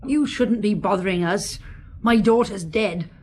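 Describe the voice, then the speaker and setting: A woman's voice: mid-range voice, a little slow, a little mellow, lightly acted. An actress playing a resentful, grieving rural mother in a radio drama, accusing in tone. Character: bitter grieving peasant mother.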